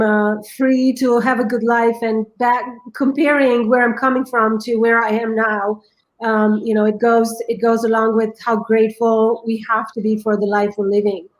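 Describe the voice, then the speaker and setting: A woman in her forties from Romania with a Romanian accent, telling her life story as a guest in an earnest, halting way. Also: on the high side, roomy sound, poor audio. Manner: earnest, halting, many restarts. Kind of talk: personal storytelling.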